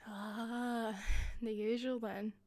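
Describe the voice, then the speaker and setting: Soft, giggly Scottish woman hostess. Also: a little high, flat delivery, drawn-out words, no room sound. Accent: Scottish, softened. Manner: soft, giggly, attentive, drops into whispers. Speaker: hostess in a roleplay. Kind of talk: listener-directed roleplay.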